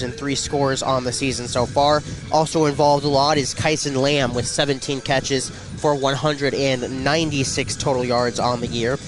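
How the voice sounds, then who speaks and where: A man calling high school football play-by-play for radio, congested from a cold and picking up energy during plays. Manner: rising excitement on plays. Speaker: play-by-play announcer. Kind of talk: radio sports commentary.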